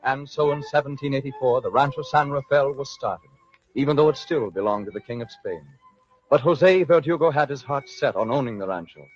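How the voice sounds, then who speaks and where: A man's voice: mid-range voice, fairly quick. A man giving scripted narration for an old-time radio drama. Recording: dull lo-fi old radio recording. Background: music.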